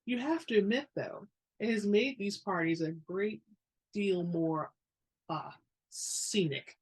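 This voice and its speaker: A woman reader puts on a storybook wizard, an old man of courtly manners and dry wit. The voice is slightly husky and croaky, with formal, gracious phrasing. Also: medium pitch, slow, poor audio. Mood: wry.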